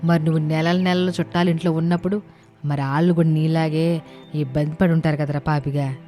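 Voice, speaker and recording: A woman's voice: low voice, steady tone, a little fast, dark tone, soft background music, close to an everyday voice. A woman playing a bossy, sensible older village aunt who talks in colloquial, scolding, speech. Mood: reproachful. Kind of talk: animated moral-story dialogue.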